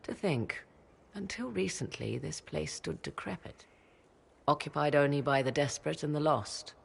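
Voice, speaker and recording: A grave, husky mage mother. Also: sits low, exaggerated voice. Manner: measured, formal, archaic speech. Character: mysterious aloof mage mother, grave and secretive. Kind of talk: video game cutscene dialogue.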